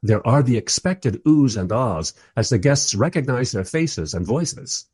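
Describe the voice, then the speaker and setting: A man's voice: mid-range voice, expressive, a little slow, darkish tone, breathy edge. A man playing a wry, bemused first-person narrator in a radio play, telling the story in a dry, literary way.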